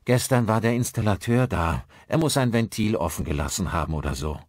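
A man voicing a protective father in an audiobook, speaking with gentle reassurance. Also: fairly low pitch, animated, fairly quick, no room sound, clean studio sound, pitched above the performer's own voice. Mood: reassuring. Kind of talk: audiobook character dialogue.

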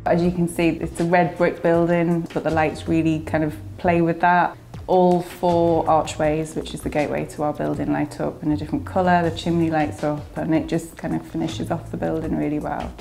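A woman gives a testimonial in an interview. Her voice is low and mellow, and it stays fairly level as she talks. Faint music sits behind her. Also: level tone, moderate pace, gravelly. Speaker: representative of a business centre.

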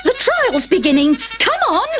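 A woman voicing a bossy duchess in a storybook reading, urgent and pitched up. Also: big pitch swings, moderate pace, music in the background. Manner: urgent, conspiratorial.

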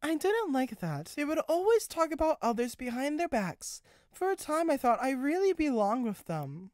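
Woman as shy female engine character, high small voice, hesitant, wounded, storybook drama, dry recording. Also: big pitch swings, normal speed, no echo. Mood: sad, confessional. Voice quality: quiet, small voice. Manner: hesitant, emotional, rambling.

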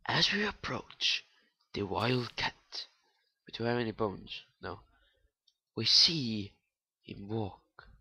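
A man's voice: lowish voice, very animated, slow, vocal fry, pauses often, bright, forceful tone, breathy edge, no echo. A young man with a non-native English accent, rambling and swearing casually as he comments on his own gameplay. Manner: rambling, swearing, joking to viewers. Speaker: gaming YouTuber.

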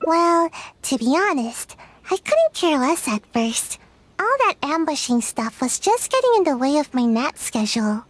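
A woman voicing a sleepy, lazy young girl ninja in a video game, talking in a drowsy, soft, unhurried way. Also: sits high, very animated. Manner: drowsy, slow and soft-spoken.

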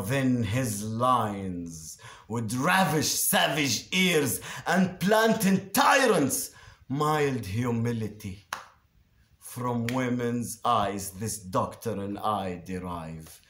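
A man reciting Shakespearean verse theatrically, declamatory and deliberate, with dramatic pauses and emphatic swells. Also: medium pitch, animated, fairly bright tone. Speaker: actor reciting a Shakespeare monologue. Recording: roomy, echoing space.

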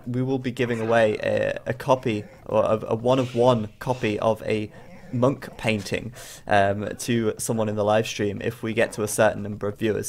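A young man with a cold and a stuffy nose, tired late at night, casting a strategy game match in rambling commentary full of fillers. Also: medium pitch. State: bunged up with a cold. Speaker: game caster.

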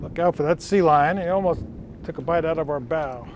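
A man's voice: a little high, a little fast. A man giving practical sailing instruction in an explanatory, teacherly way. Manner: didactic, explanatory. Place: outdoors on a sailboat under way. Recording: windy outdoor boat noise.

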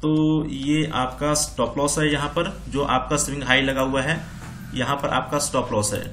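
Bright-voiced man teaching, echoey room. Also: medium pitch, fairly even intonation, moderate pace, slight background noise. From India. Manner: repetitive explaining, mixing in English terms. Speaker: stock trading instructor. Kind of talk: informal screen-share tutorial on chart patterns.

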